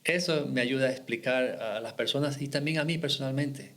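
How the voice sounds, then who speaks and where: A man's voice: mid-range voice, a little fast. A middle-aged man from Ecuador, a missionary guest in a podcast interview, telling his faith story in an earnest, reflective way with short restarts.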